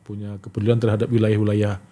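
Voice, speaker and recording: A man's voice: fairly low pitch, completely flat delivery, quick pace, warm tone. A man with an Indonesian accent speaking casually as an interview guest, agreeable and often repeating short affirmations. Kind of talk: casual chat.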